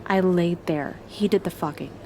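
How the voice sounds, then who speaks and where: A woman's voice: on the low side, moderate pace, mellow, slight background noise, close to an everyday voice. An actress in her twenties playing a guarded, defensive young woman in a film drama, talking in a conversational, evasive way. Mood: confessional, weary. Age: 20s. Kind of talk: naturalistic film drama dialogue.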